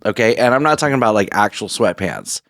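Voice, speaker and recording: A man's voice: fairly low pitch, animated, fast, fairly bright tone, no room sound, clean studio sound. A man co-hosting a casual podcast, teasing his friends and cutting in with jokes. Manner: teasing, jokey interjections. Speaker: podcast co-host. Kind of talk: casual chat.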